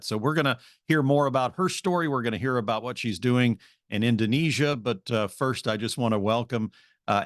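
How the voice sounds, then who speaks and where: A man hosting a faith radio interview program, talking in a relaxed conversational way with occasional filler sounds. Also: on the low side, no echo, clean studio sound. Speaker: radio show host.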